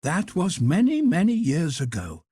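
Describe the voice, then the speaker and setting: Storybook role: a pressing, kindly head cook of fifty, voiced by a man, husky, high and animated. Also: unhurried, dry recording, clean studio sound, exaggerated voice. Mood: delighted. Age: 50s. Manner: effusive, pressing, motherly. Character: eager fifty-year-old woman, a hotel head cook.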